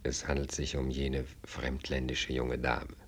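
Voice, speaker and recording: An actor playing an elderly, deferential state minister in a radio drama, speaking in halting, ceremonious courtly phrases. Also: male voice, very deep voice, monotone, a little hiss or hum. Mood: concerned, discreet. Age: older. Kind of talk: German radio drama acting.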